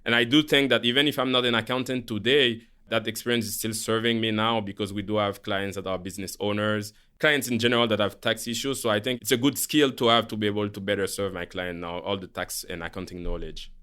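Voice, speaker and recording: A man from Haiti with a Haitian French accent, calmly explaining his career as a guest on a finance podcast. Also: on the low side, fast talker, hardly any pauses, smooth. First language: Haitian Creole. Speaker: guest, portfolio manager. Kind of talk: podcast interview.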